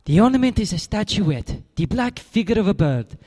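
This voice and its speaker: A man's voice: high voice, very animated, normal speed, a little mellow. A silky, overly courteous foreign dealer, each word polished and precise. His menace stays politely veiled. The voice rings with a long, hall-like reverb. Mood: ingratiating. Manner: formal, ornate and polite.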